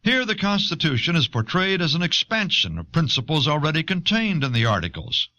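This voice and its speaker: A man reading scripted documentary narration in a measured, explanatory manner, on an old cassette recording. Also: fairly high pitch, very animated, pushed and bright, dry recording, highs cut off. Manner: measured, expository.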